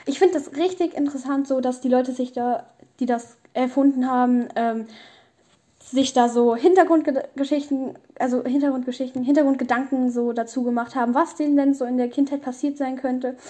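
A woman's voice: high-pitched, fairly even intonation, moderate pace, warm tone. A young woman, hosting a casual solo podcast in a rambling, opinionated way full of youth slang. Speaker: podcast host.

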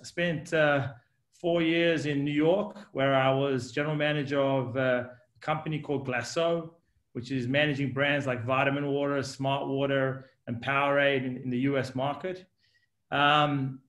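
A man's voice: on the high side, fairly bright tone. A man in his forties from South Africa, with an accent between South African and Australian, telling his career story as a podcast guest with many ums and uhs. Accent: South African and Australian mix. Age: 40s. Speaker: guest, company executive. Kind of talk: podcast interview. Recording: roomy echo.

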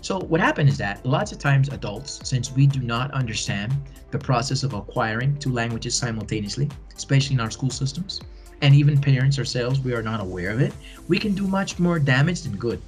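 A man's voice: mid-range voice, lively intonation, a little fast, faint music behind. Earnest man, encouraging, repeating for emphasis. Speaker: podcast guest, bilingual educator. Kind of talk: conversational interview answers.

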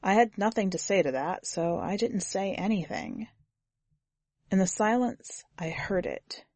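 A woman reading scripted first-person fiction as a narrator, with measured, grave, deliberate phrasing. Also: medium pitch, darkish tone, dry recording. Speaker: podcast editor and story narrator.

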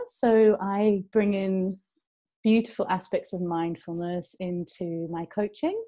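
A woman who is an awakening coach and mindfulness instructor, co-hosting a casual conversation in a soft, gentle way with frequent giggles. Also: medium pitch.

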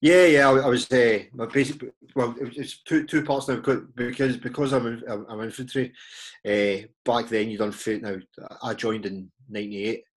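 A man in his forties with a Glaswegian Scottish accent, a podcast guest talking casually and haltingly, repeating words and filling pauses. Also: medium pitch, steady tone. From Scotland. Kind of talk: casual interview chat.

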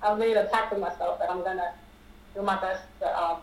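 A woman's voice: medium pitch, fairly even intonation, fairly quick. A woman in her thirties, an upbeat and friendly podcast guest in a casual interview. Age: 30s. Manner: upbeat, friendly, laughs easily. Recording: distant, roomy with faint noise.

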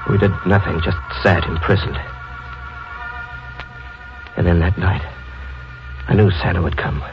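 An actor playing a guilt-ridden young husband in a 1940s radio drama, stammering and rising into panic. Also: male voice, deep voice, unhurried, over music. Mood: brooding. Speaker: Tate, the narrator. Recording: lo-fi old radio recording.

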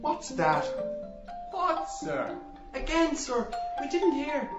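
A man's voice: extremely high pitch, big pitch swings, slow pace, roomy sound, voice pushed up, exaggerated voice. A man reading an audiobook, voicing eager young schoolboys who plead with their teacher in a chirpy sing-song. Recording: muffled audiobook with music beneath.

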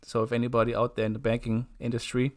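Co-host: young man, mid-pitched voice, relaxed, halting with fillers. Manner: easygoing, hesitant with fillers. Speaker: podcast co-host. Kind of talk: casual chat.

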